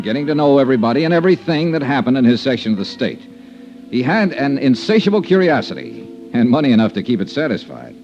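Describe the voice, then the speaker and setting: An actor playing a tough, probing insurance investigator, sardonic and clipped, in 1950s radio detective style. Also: male voice, mid-range voice, a little mellow, natural voice. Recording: dull old radio recording, music.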